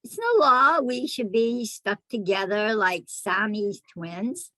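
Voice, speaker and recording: A woman's voice: mid-range voice, slow pace, pushed and bright, dry recording. A woman playing a hard-working, domineering family matriarch in a theatrical monologue, scolding and justifying herself emphatically. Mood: bitter reproach.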